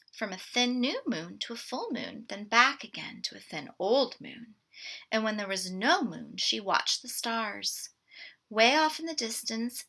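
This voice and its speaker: Bright, husky woman reading a storybook slowly. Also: a little high. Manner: deliberate, expressive reading with asides to listeners. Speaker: storybook read-aloud presenter. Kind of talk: picture book read-aloud for children.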